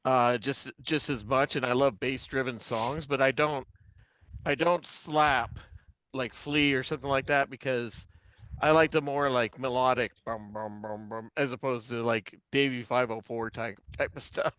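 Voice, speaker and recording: Man co-hosting a podcast, smooth clear voice at average pitch, chatting informally. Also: steady tone, a little slow, fairly bright tone, no room sound. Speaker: guitar and bass player. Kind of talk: casual chat.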